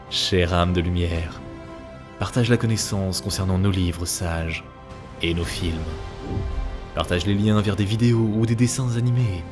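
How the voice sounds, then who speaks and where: A man reading scripted narration as a storyteller. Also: deep voice.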